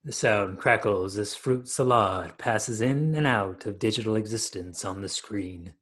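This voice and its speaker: Husky man reading stage directions. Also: fairly low pitch, measured pace, fairly bright tone. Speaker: narrator. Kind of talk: scripted narration of stage directions. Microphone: video call.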